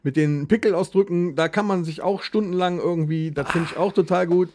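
A man with a high voice chats as a podcast co-host. His delivery is casual and joking, the kind of unscripted talk shared among friends. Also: fairly even intonation, fairly quick, darkish tone, slight background noise.

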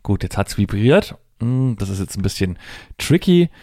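Male demo presenter, lowish pitch, dry sound, informal thinking-aloud explanations. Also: big pitch swings, moderate pace, warm tone, no room sound. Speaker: presenter demonstrating assistive technology. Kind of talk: hands-on product demonstration, explanatory.

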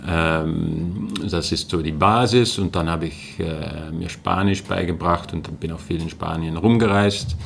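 Man, low voice, gravelly, hesitant with many fillers, faint background noise. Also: measured pace, few pauses. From Netherlands. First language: Dutch. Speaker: guest, linguistics lecturer. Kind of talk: casual interview answers.

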